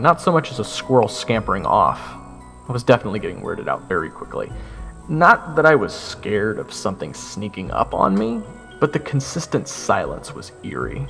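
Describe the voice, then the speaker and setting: A young man narrates an audio drama in the first person with a sardonic, expressive delivery. His voice is gravelly and lower than average, with a lively swing in pitch. Soft music plays underneath. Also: normal speed, big-room sound. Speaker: narrator and lead voice actor.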